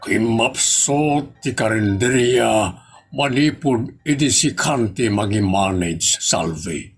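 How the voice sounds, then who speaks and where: A man with an Ilocano accent playing a wily, money-minded older eatery owner in a comedy drama, measured and crafty. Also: fairly low pitch, lively intonation, slightly rough, faint music behind, full character voice.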